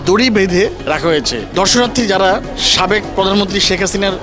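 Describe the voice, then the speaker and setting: A man's voice: high-pitched, fast talker. A man with a Bangladeshi accent narrating a news report on location as a reporter. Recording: noisy crowd and music behind. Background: crowd noise and music.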